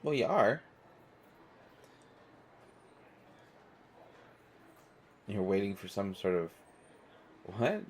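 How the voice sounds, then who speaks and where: Man acting as stressed male traveler, low pitch, hesitant, self-deprecating, rambling, audio roleplay, noisy ambient background. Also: sing-song, normal speed. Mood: hesitant, wry. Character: stressed, self-deprecating, observant male stranger waiting at airport. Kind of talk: one-sided conversational audio roleplay.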